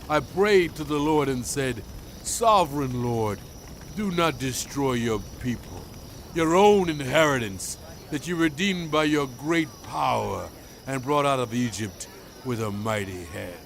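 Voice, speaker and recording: A man's voice: mid-range voice, a little hiss or hum. A male actor playing a stern prophet addressing his people in a dramatized scripture reading, with grave, emphatic oratory. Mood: earnest pleading. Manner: grave, deliberate, emphatic phrasing.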